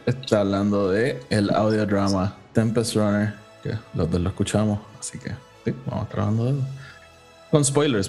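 A man's voice: fairly low pitch, gravelly, a little mellow. A man with a Puerto Rican Spanish accent hosting a podcast in casual chat, informally mixing in English words. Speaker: podcast host.

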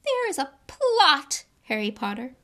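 A woman voicing a tiny, servile male house-elf with a squeaky, strained, trembling voice, who talks about himself in the third person and pleads fervently. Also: big pitch swings, a little slow, pushed and bright, exaggerated voice. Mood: pleading.

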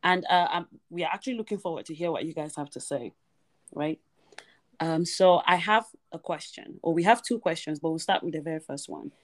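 A woman's voice: low voice, fairly quick. A woman co-hosting a podcast, chatty and laughing often as she talks straight to the listeners. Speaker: podcast co-host. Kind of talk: casual podcast chat.

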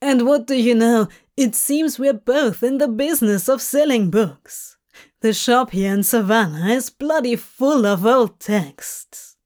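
Gentle, careful male angel, read by a woman, soft and mild, slightly high. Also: measured pace, lightly acted. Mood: tender.

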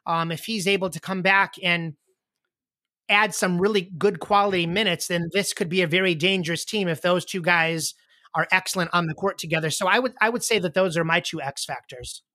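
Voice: mid-range voice, fairly quick, no echo. A host in their late forties running a casual panel chat about basketball picks and handing out questions. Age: 40s. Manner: relaxed, leads the questions. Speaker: podcast host.

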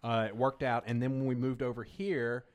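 A man's voice: mid-range voice, level tone, normal speed. A man from Louisiana with a Southern American accent, chatting casually as a podcast host. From Louisiana, United States. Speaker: podcast host, gym owner. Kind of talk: casual chat.

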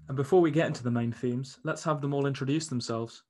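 A man co-hosting an education podcast, reading scripted links briskly and smoothly. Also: mid-range voice, mellow. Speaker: podcast co-host.

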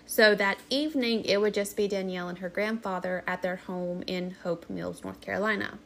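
A woman's voice: mid-range voice, steady tone, moderate pace, vocal fry, slight background noise. Nasal, congested woman podcast host. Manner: chatty, with fillers like "like" and "um". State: congested from a sinus infection. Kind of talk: casual chat and true crime storytelling. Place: home studio in a living room.

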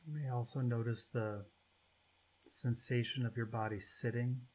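A man leading a guided meditation as its guide, speaking in short, spacious phrases with long pauses between them. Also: medium pitch, fairly even intonation.

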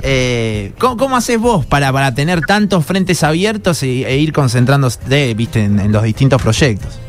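A man's voice: mid-range voice, animated, moderate pace, soft background music. A man with a Rioplatense Argentine accent hosts a casual interview, putting long, conversational questions to his guest. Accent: Rioplatense Argentine Spanish. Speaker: interview host.

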